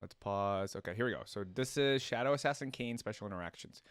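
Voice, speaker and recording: A man's voice: on the low side, quick pace, clean tone. A man hosting a reaction video, musing aloud in casual, conversational commentary. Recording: game music behind the voice. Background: music from the game video being watched.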